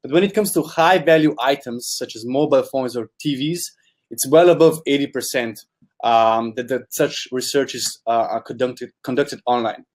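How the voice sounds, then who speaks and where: A man's voice: medium pitch, normal speed. Young non-native man presenting a webinar. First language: not English. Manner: earnest, repeats words, uses "kind of" often. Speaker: guest speaker, product specialist.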